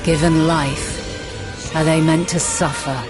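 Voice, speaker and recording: A woman's voice: low voice, steady tone, takes it slowly, music in the background. A woman playing a solemn, loving goddess who mourns and guides mankind in grand, poetic monologue for a fantasy game. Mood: solemn, sorrowful.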